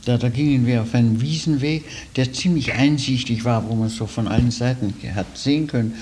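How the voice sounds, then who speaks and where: Elderly man, warm dark timbre, medium pitch, anecdotal reminiscing. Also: brisk, slight background noise. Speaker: interviewee.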